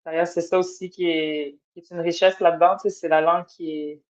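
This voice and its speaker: A woman's voice: lowish voice, steady tone, brisk, darkish tone. A woman from an Atikamekw community, a guest artist speaking casually and hesitantly on a podcast. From Atikamekw community in Quebec. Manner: hesitant, many fillers and restarts. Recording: dull lo-fi sound.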